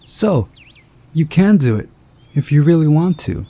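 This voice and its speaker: A man's voice: fairly high pitch, big pitch swings, measured pace, mellow, no echo, voice pushed up. A man voicing an exasperated, bossy woman film director in a visual novel, scolding and weary.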